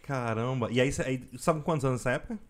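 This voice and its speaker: A woman interviewing a guest in a casual chat, firing off quick follow-up questions. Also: a bass voice.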